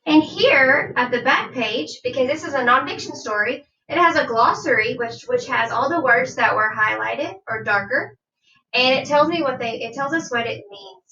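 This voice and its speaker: A woman reading a nonfiction children's book aloud like a teacher, deliberate and instructive, with questions to her young listeners. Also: high voice, expressive, sharp tone. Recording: dull, roomy sound, distant from mic.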